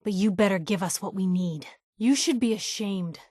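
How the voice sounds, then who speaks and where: A woman's voice: mid-range voice, moderate pace, darkish tone, dry recording, clean studio sound, natural voice. An actress voicing a plucky, principled young woman reporter, a video game companion with sassy, earnest, slangy banter. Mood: indignant.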